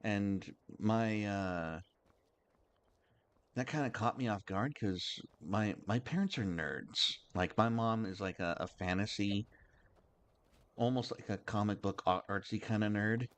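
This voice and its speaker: Male streamer host, lowish voice, rambling and halting casual chat. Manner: halting and rambling, many restarts.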